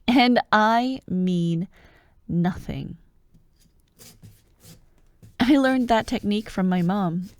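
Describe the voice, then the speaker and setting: A woman playing a bubbly, bossy young childhood friend who loves Halloween, in an animated, teasing ASMR roleplay. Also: medium pitch, dry recording, studio quality, close to an everyday voice. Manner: animated, expressive, teasing, with laughs and sighs. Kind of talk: ASMR roleplay, one-sided acted conversation.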